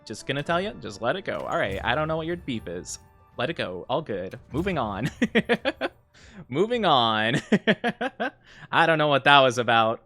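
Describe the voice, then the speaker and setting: Male streamer, medium voice, teasing and mock-outraged with chat, slightly worn-out voice, soft music bed. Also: medium pitch, very animated, measured pace. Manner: playful and mock-offended, bursts of laughter. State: losing his voice. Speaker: VTuber streamer. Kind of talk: casual live-stream chat with viewers.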